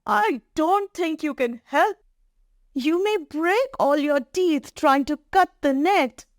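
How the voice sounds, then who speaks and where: Storybook lion, male, proud and bossy, played by a woman, high-voiced and animated. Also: slow pace, no room sound, clean studio sound. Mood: pained, doubtful. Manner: scornful, commanding. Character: proud male lion, haughty, easily annoyed.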